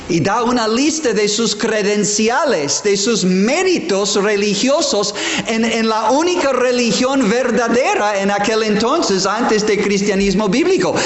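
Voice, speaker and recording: A man preaching a sermon as a pastor, stressing words slowly and restarting phrases. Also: high-pitched. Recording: echoey hall with faint ambience.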